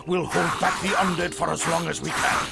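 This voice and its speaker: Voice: mid-range voice, flat delivery, normal speed, creaky, loud music behind the voice. An actor playing a desperate soldier guarding a relic in a video game, urgent and commanding. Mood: urgent alarm. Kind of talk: video game cutscene acting.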